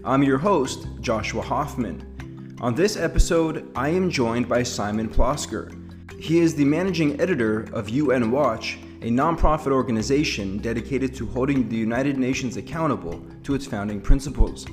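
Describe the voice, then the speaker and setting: A male podcast host reads a scripted introduction for his guest in a smooth, even delivery. His voice sits at a middling pitch for a man, and a faint guitar plays beneath the words. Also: moderate pace.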